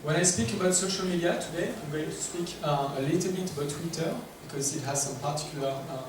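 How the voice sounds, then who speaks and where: A man's voice: on the high side, fast talker. A man with a French accent giving a workshop presentation in English, hesitant and full of filler words, asking the audience questions. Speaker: workshop speaker. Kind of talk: conference presentation. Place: large echoey conference room. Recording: echoey distant room recording with noise.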